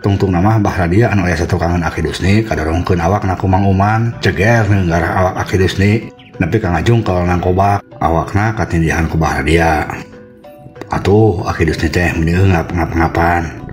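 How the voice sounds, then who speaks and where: Low-voiced Sundanese male storyteller. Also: moderate pace, faint music behind. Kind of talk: dramatic folk tale narration.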